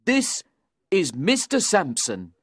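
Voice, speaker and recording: A man voicing a rude, demanding young drunk in an audiobook, with slurred, drawn-out shouting. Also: high voice, huge pitch swings, husky, no echo, clean studio sound, pitched above the performer's own voice, heavily acted. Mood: drunk, insistent. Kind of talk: audiobook character voice.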